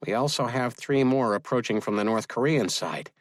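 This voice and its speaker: A brisk mission controller, voiced by a male audiobook narrator gives clipped, businesslike reports. The sound is very dry and close. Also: mid-range voice, no room sound, voice pushed up, close to an everyday voice. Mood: brisk, alert. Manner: clipped radio procedure.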